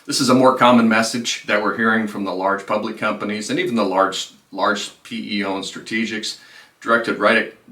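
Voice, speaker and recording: A man's voice: on the low side, pushed and bright. A man giving businesslike financial commentary as a presenter, stressing key phrases in an informal way. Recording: roomy echo, distant microphone.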